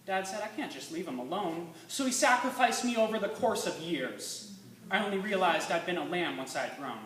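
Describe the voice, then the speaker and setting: A teenage boy reciting slam poetry dramatically, intense and emphatic, moving between hushed lines and forceful ones. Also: sits high, lively intonation, moderate pace. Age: teens. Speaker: student slam poet. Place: live stage in a room with an audience. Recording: echoey room, distant mic, faint noise.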